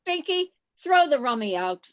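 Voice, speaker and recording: A woman playing a gruff, hostile barman in a radio play, curt and contemptuous. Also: high-pitched, sing-song, normal speed. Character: gruff, hostile male bartender Nick, adult. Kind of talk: community theatre radio play acting. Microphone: video call.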